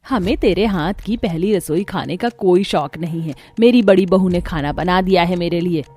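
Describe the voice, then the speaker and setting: A woman's voice: on the low side, moderate pace, mellow, pitched below the performer's own voice, close to an everyday voice. Cartoon villain mother-in-law voiced by a woman: middle-aged, harsh, sarcastic, scolding, over music. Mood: scolding, grudging.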